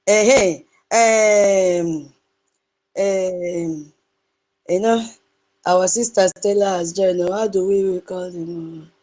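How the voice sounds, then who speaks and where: A woman's voice: mid-range voice, fairly even intonation, extremely slow. A woman with a Nigerian accent hosting a live online audio space, greeting and welcoming listeners by name, some in her own dialect. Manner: greets listeners one by one in dialect, sing-song and warm.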